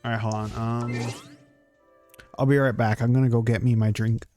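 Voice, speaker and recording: A man's voice: mid-range voice, level tone, moderate pace, darkish tone. A man chatting casually and informally on a game livestream, rambling from one thought to the next. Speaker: livestreamer playing a video game. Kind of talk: casual stream chat. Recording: music playing behind the voice.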